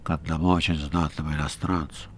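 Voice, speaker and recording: A man's voice: sits low, lively intonation, moderate pace, gravelly, breathy edge, exaggerated voice. A man voicing a short, brash and cheeky red-haired man with a fang, sly and offhand in an audiobook. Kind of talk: audiobook character reading.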